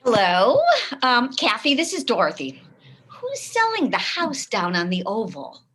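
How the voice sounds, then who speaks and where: Bright, swooping gossipy housewife played by an actress. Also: female voice, fairly high pitch, huge pitch swings, a little slow, slightly rough, full character voice. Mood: excited, gossipy. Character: excitable, gossip-hungry suburban housewife on telephone. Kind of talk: staged play reading. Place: video call.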